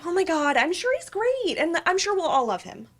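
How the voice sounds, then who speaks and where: A woman acting an eager, supportive best friend in a staged play reading, chatty and warm. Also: very high-pitched, big pitch swings, moderate pace, heavily acted. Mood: happy, supportive.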